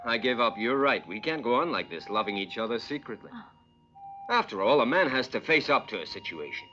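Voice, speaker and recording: A young cavalry officer in an old western. His very bright, tense voice is lively in pitch, clipped and earnest. The film soundtrack sounds dull. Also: brisk.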